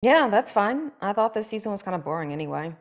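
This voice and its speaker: A woman's voice: medium pitch, very animated, fast. An actress at a table read playing an awkward, hesitant young woman who writes scripts.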